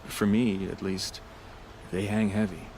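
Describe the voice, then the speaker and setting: An actor playing a humble, remorseful priest in a video game, speaking in a measured, formal, earnest way. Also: male voice, lowish voice, flat delivery, creaky, darkish tone, a little hiss or hum. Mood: mournful, reverent. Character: solemn, grieving young priest, humble and remorseful.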